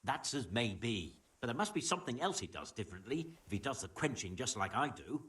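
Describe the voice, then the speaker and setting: Very animated medieval master blacksmith. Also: male voice, medium pitch, distant mic. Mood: sceptical, accepting. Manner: brisk, old-fashioned idiom. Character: eager, plain-spoken medieval master blacksmith, curious and fair-dealing. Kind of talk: video game voice acting.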